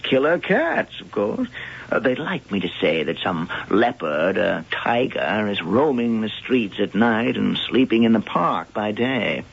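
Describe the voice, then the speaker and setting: Wry professor, low gravelly husky voice, urbane and genial, muffled old radio drama. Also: male voice, very animated, measured pace, heavily acted. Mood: wry amusement. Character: genial, urbane male professor, wryly dismissive. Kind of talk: old-time radio mystery acting. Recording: dull old radio broadcast recording.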